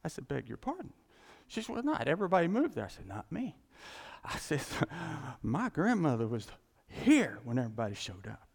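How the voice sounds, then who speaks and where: A man's voice: on the high side, wildly swooping pitch, normal speed, creaky, husky. A man preaching a casual sermon from the United States, rambling and restarting, chuckling as he tells his stories. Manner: rambling, self-interrupting, chuckling storyteller. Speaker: pastor. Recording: long hall reverb.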